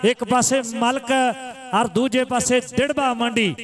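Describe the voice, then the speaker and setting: A man's voice: very high voice, steady tone, a little slow, a crowd in the background. A man giving live kabaddi commentary and announcements, repeating phrases for emphasis and rousing the spectators. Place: live village kabaddi tournament ground.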